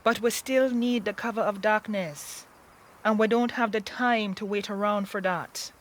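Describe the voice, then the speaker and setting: Slow-spoken sea captain played by a woman, wry, profane and commanding, fantasy audio drama. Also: mid-range voice, steady tone, close to an everyday voice. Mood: businesslike. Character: tough, foul-mouthed woman boat captain of the seas.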